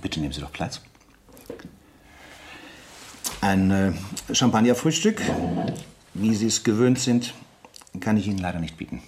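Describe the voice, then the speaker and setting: A rather low-pitched French officer, played by a man in a radio drama. He questions with suave courtesy and dry irony. Small hesitations and deliberate pauses break up his polite phrases. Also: lively intonation, a little mellow, slight background noise, natural voice. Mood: courteous. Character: French army captain, suave, sardonic interrogation officer. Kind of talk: German radio drama acting.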